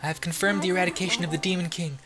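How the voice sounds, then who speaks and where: Man reading as a higher-pitched, formal sword spirit. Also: steady tone, racing, over music, lightly acted. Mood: detached, formal. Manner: formal, precise. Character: formal, emotionless sword spirit reporting facts.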